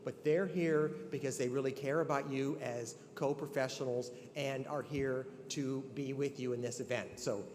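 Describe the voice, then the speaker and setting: A man's voice: medium pitch, fairly even intonation, normal speed, hardly any pauses, background noise. An older man giving an earnest, conversational ceremonial address, with many restarts. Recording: long hall reverb, faint ambience.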